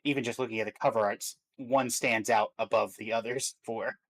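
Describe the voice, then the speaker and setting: A man's voice: mid-range voice, fairly even intonation, moderate pace, fairly bright tone. A man co-hosting a stream, chatting casually about video games and thinking aloud as he goes. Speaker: stream co-host.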